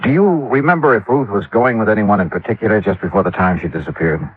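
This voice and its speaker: An actor playing a courteous hard-boiled insurance investigator, speaking in a measured, polite way in an old radio detective drama. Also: male voice, on the low side, breathy edge. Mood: probing, businesslike. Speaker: lead investigator and narrator. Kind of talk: 1950s radio detective acting. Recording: tinny vintage radio, band-limited.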